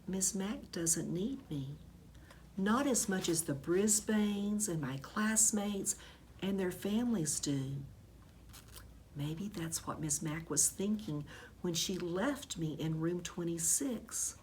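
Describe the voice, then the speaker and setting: Slow-paced woman reading a storybook. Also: lowish voice, darkish tone, a little hiss or hum. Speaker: teacher reading a children's book aloud. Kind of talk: expressive storybook narration.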